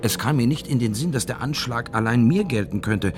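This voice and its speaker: An actor playing a shaken male academic who soberly recalls being a bombing victim in a measured radio drama monologue. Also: mid-range voice, animated, over music, natural voice. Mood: stunned, reflective.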